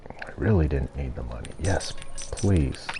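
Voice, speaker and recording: A young man streaming a game and chatting casually, with a stuffy nose and throat and a rambling, relaxed way of talking. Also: extremely low pitch, measured pace, noisy background. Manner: relaxed, rambling, self-deprecating. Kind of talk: casual gameplay commentary. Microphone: desk mic.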